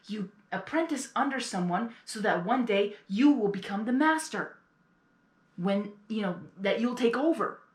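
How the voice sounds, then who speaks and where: A woman giving casual reaction commentary, explaining things in an emphatic, exasperated way. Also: medium pitch. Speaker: reaction video host. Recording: echoey room.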